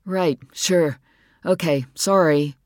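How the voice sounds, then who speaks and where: A man's voice: a little high, lively intonation, normal speed, no room sound, clean studio sound, lightly acted. A woman voicing a sullen, awkward teenage son who sounds dismissive and impatient. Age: teens.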